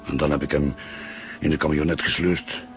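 An actor playing a grim, stubborn Flemish man who talks tersely and haltingly in a radio drama. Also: rumbling low, little pitch movement, faint music behind.

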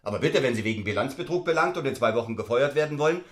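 A man's voice: medium pitch, lively intonation, rapid-fire, roomy sound, close to an everyday voice. A man playing a brusque, cynical middle-aged lawyer in a stage play, curt, commanding and condescending. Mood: commanding, irritated. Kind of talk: theatrical stage-play dialogue.

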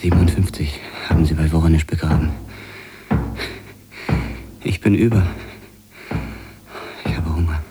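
A man's voice: extremely low pitch, fairly even intonation, unhurried, pauses often. A man giving an intense, brooding dramatic reading of literary texts in the first person for a radio feature. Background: loud music under the voice.

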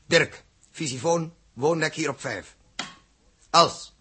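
A man acting a commanding, fair-minded spaceship captain in a 1960s Dutch radio drama, with a husky voice. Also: on the high side, takes it slowly, pushed and bright, a little hiss or hum. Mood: brisk, commanding.

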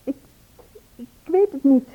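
A woman's voice: high voice, lively intonation, slow, narrow, tinny audio. An actress playing a nervous, evasive woman, hesitant and defensive, in an old radio play recording. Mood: uneasy. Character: Yves, nervous, evasive woman confessing reluctantly.

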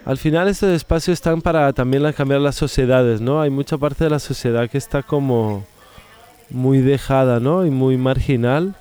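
Mellow man giving reflective opinions. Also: mid-range voice, moderate pace, clear, resonant tone. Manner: seeks agreement with tag questions.

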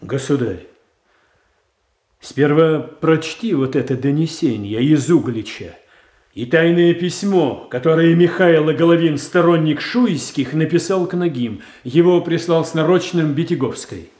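Guy playing a grave unyielding court adviser, dark mellow voice, slow weighty formal lines, radio tragedy. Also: fairly high pitch, close to an everyday voice. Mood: formal urgency. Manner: measured, formal, weighty declamation. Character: stern, calculating royal adviser, grave and unyielding. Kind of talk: classical Russian stage tragedy in radio adaptation. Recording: roomy, echoing stage sound.

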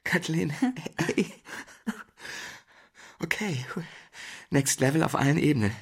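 An actor playing an overwhelmed man in a drama, stammering and stunned, with a husky, creaky voice. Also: fairly high pitch, full character voice. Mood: elated disbelief. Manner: halting, stunned. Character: overwhelmed, stammering man hearing big news from partner.

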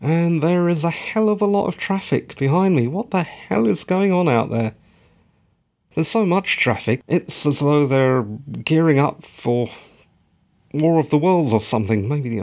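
A man hosting his own podcast, with a croaky, tired voice and rambling, self-mocking asides. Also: medium pitch, darkish tone. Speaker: podcast host. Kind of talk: solo podcast recap monologue.

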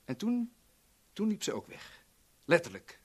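A man's voice: high voice, wildly swooping pitch, unhurried, darkish tone, slightly rough, heavily acted. An actor playing a sadistic, taunting villain in a 1970s radio drama, theatrical and sneering as he savours his words. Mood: gloating, taunting. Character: sadistic, taunting male villain gloating as a blackmailer.

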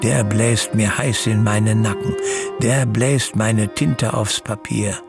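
A man playing a brooding, guilt-haunted schemer in a radio drama, speaking in halting, solemn fragments over music. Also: lowish voice, fairly even intonation, vocal fry. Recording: music bed under the voice.

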